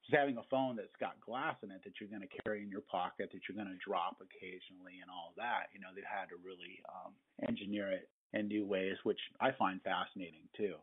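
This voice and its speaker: A man's voice: low-pitched, fairly even intonation, fairly quick, hardly any pauses, husky. A man chatting conversationally as an interviewer with trade experience, recorded over a telephone. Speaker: interviewer with experience as a glass installer. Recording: thin, narrow phone line.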